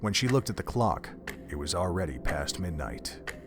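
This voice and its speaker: A man with a fairly low voice narrates an audio drama. His delivery is solemn and literary, like scripted storytelling, with music faintly underneath. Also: animated, normal speed.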